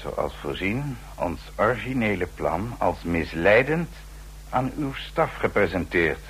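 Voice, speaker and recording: An actor playing a sinister, formally polite manservant in a Dutch radio play, speaking with deliberate, clipped courtesy. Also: male voice, lowish voice, very animated, a bit bright. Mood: coolly menacing. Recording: noisy old radio play recording.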